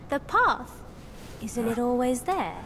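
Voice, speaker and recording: Slow, smug little holy trickster boy. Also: fairly high pitch, expressive, a little hiss or hum, heavily acted. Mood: serene, teasing. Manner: measured, riddling, condescending. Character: serene, smug child-like bald pot-bellied Buddha trickster boy. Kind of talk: dramatic video game cutscene acting. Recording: loud music behind the voice.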